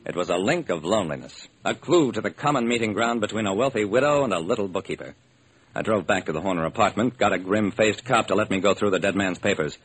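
An actor playing a brisk, determined hard-boiled male private detective, narrating and acting in a classic radio crime drama. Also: on the low side, lively intonation, fairly bright tone, slight background noise, poor audio. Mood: intent, clipped. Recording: old, slightly rough radio recording.

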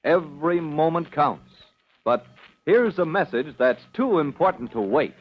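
A man's voice: a little high, expressive, unhurried. A man narrating an old-time radio drama as an urgent, declamatory announcer. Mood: dramatic, urgent. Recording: dull vintage radio recording, faint noise.

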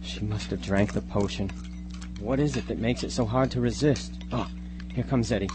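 A man's voice: on the low side, moderate pace, background noise, close to an everyday voice. A man playing an anxious, doubting teenage boy in a radio drama, fretful and full of pleading questions. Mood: suspicious. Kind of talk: live amateur radio drama acting.

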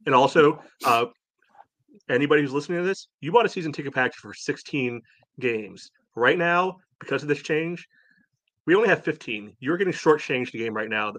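A man's voice: fairly high pitch, animated, a little fast, a bit bright, no echo. Male sports podcast host, opinionated and emphatic, peppers speech with "you know", dry recording. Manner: emphatic, blunt, indignant; frequent "you know" filler.

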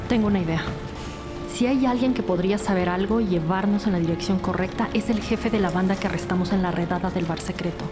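A woman's voice: medium pitch, fast, lightly acted. A woman playing a firm, no-nonsense police detective in a scripted crime audio drama. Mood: serious. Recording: music bed under the voice. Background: dramatic music.

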